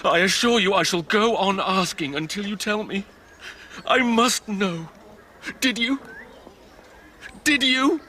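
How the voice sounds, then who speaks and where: Faint noise sits behind a lovesick male patient in a radio drama. His voice is high and sharp, insistent and theatrical. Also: slow, pauses often, exaggerated voice. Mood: anguished pleading.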